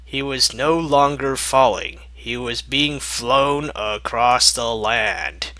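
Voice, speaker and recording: A man narrates a fan story at an unhurried pace with a sarcastic edge. His voice is bright and forceful, and the recording is dry. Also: medium pitch, fairly even intonation, no room sound. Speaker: fanfiction reader.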